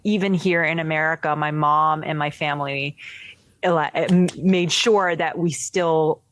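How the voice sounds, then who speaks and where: A young woman from the Philippines, a filmmaker guest chatting in an interview, lively and laughing, with many fillers and restarts. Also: deep voice, a little slow. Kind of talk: casual interview chat.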